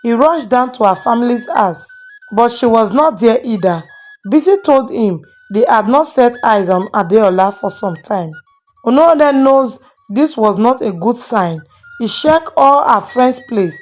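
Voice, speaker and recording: A woman's voice: on the high side, expressive, measured pace, faint music behind. A woman storyteller hosting and dramatically narrating a moral tale. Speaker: storyteller and channel host.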